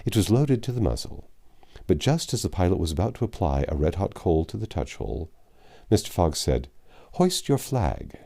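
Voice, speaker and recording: A man with an extremely deep, mellow voice narrates a classic novel. His delivery is measured and dramatic, with lively swings in pitch, like an audiobook storyteller. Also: vocal fry.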